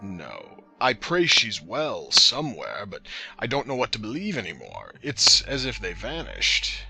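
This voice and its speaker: Man playing a gravelly, low-voiced calculating boss. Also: huge pitch swings, bright, forceful tone, soft background music, exaggerated voice, rough recording. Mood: grave, weary. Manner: measured, formal, ominous. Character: weary, calculating male boss with a heavy conscience. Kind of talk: audio drama acting.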